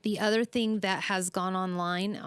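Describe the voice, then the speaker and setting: A woman's voice: mid-range voice, fairly even intonation, measured pace, clear, resonant tone, no room sound. A woman speaking as a school superintendent, giving an earnest, reassuring public update to families in a semi-scripted way.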